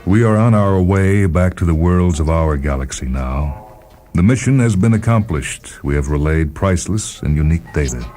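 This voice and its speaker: An American man reading a scripted short story on radio, with a slow, measured, grave and deliberate delivery. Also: very deep voice, dark tone. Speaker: radio host and story reader. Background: music.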